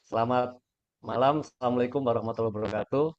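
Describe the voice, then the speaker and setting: A hoarse man giving a measured webinar presentation with frequent pauses. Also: medium pitch, steady tone, darkish tone. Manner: measured, many pauses and fillers. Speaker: guest speaker, aquaculture director. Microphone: video call. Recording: dull lo-fi call audio.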